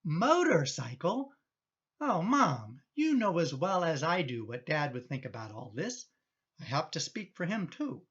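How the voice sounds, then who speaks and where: A woman's voice: low-pitched, very animated, unhurried, slightly rough, dull audio, heavily acted. A woman playing an exasperated, protective middle-aged son in a radio play, indignant and emphatic in manner. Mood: disbelieving.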